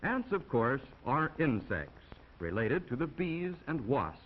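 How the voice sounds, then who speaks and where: An American man delivers scripted narration in the style of a 1950s classroom film. His mid-pitched voice rises and falls very expressively as he explains things to young viewers in a folksy, chatty way. The old optical soundtrack sounds muffled, with faint noise underneath. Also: sing-song, slow pace. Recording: dull, noisy 1950 film soundtrack.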